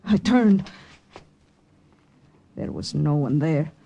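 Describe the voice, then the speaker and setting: An actress playing a tense young woman spy, dramatic and deliberate, in old-time radio drama style. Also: deep voice, lively intonation, vocal fry, mellow, dull audio, heavily acted. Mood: grave, suspenseful. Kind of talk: 1940s-50s radio drama acting and first-person narration.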